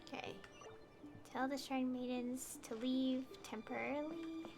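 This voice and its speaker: High-voiced woman streaming a game. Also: a little slow. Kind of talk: casual gameplay commentary, reading dialogue aloud. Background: game music.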